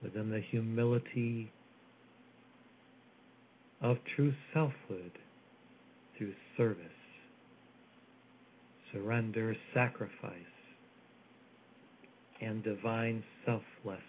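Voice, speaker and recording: A man delivering a channeled spiritual message in a soft, solemn, measured way with long pauses. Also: on the low side, steady tone. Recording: phone-like audio with faint hiss.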